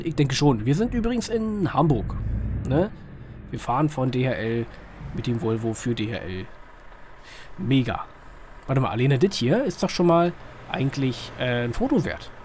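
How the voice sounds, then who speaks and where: A man with a slightly hoarse, mid-range voice chats his way through a driving game. He rambles colloquially and puts small questions to himself. Vehicle engine noise runs behind him. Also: mid-range voice, darkish tone. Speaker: gaming video host. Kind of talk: casual chatty driving-game commentary. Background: truck simulator engine sound.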